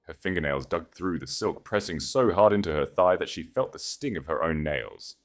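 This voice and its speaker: A man's voice: sits low, animated, normal speed. A man narrating a scripted story in a dramatic storytelling style.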